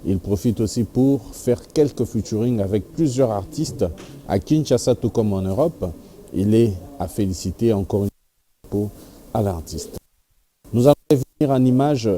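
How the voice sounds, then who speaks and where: Deep-toned male TV host. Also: moderate pace, dark tone, slight background noise. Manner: formal announcer phrasing mixing in Lingala. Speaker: TV show host. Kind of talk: TV entertainment news and interview.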